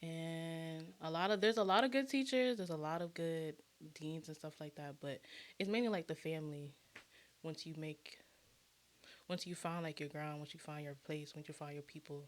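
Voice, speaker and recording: A woman's voice: sits low, moderate pace. A woman from Florida talking casually as an interview guest on a podcast. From Orlando, Florida, USA.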